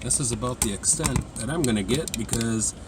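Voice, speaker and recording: A man's voice: mid-range voice, brisk, noisy background. A man talking casually as a travel vlogger, in a rambling, hesitant way. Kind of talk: casual vlog talk.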